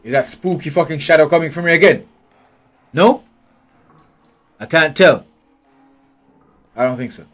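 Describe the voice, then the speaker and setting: A man's voice: fairly high pitch, a little slow, pauses often, fairly bright tone. A man streaming a game live, thinking aloud in a blunt, profane way and nitpicking every detail. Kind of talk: live gameplay commentary. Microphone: headphones with a desk mic. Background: faint game music.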